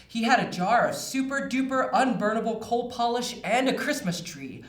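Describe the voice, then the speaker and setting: A woman's voice: fairly high pitch, expressive, normal speed. A woman narrating a children's storybook as a scripted readalong. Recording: roomy, distant mic.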